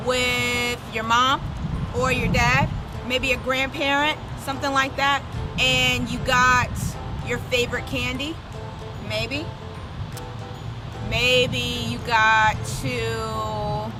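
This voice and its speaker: A woman hosting a children's story time, reading aloud expressively and putting questions straight to young listeners, with music behind her. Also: on the high side. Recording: music under the voice.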